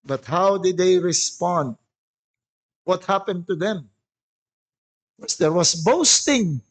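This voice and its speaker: High-pitched Filipino man preaching. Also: lively intonation, poor audio. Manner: deliberate, emphatic phrasing with rhetorical questions. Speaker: pastor preaching. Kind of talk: sermon mixing English and Tagalog.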